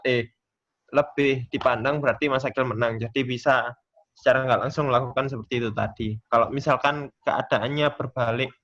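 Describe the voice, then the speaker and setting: A man taking part in a class, speaking casually and politely in a halting, hesitant way. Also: medium pitch, fairly bright tone. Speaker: webinar participant. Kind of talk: casual question and discussion. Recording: slightly dull lo-fi call audio.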